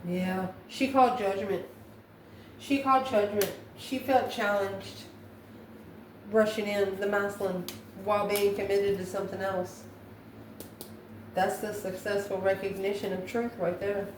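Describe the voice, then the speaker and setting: A woman works through a spiritual tarot reading in a halting, musing manner. Her voice sits at an average pitch and comes from a little way off the mic in a clearly echoey room. She poses questions and answers them as she goes. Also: steady tone. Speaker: tarot card reader, channel host. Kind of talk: improvised spiritual tarot reading, talking to viewers. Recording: echoey room, distant mic, faint noise.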